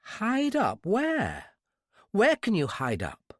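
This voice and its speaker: A man's voice: high-pitched, wildly swooping pitch, takes it slowly, no echo, voice pushed up, exaggerated voice. A scared young woman hostage, pleading in a raised, lifted voice that climbs and dips widely, as a male reader puts her on. She keeps pressing her point, courage showing through the fear. The sound is close and dry. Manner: pleading, persistent. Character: frightened but brave young woman hostage.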